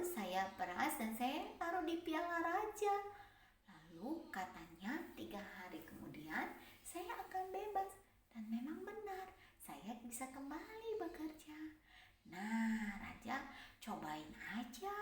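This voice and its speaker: A woman voicing a timid male royal cupbearer who speaks softly and carefully. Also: fairly high pitch, a little hiss or hum, heavily acted. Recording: roomy, mic at a distance.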